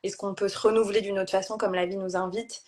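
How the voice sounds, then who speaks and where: A woman hosting a live-stream chat as a life coach, in an even, middle-range voice. She speaks thoughtfully and weaves in stories from her own work. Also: mid-range voice, fast. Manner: thoughtful, tells personal anecdotes. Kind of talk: casual live-stream conversation.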